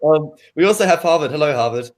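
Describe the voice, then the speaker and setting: A man's voice: fairly high pitch, animated, fast, darkish tone. A man hosting a casual podcast chat, joking and laughing often. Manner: giggly, jokey. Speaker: podcast host.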